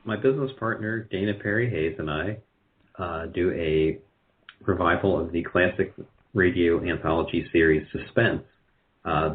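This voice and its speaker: Deep-voiced, halting man on lo-fi audio. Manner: hesitant, halting, with many filled pauses. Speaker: radio drama producer, interview guest. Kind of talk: casual interview talk. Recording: muffled, lo-fi call audio.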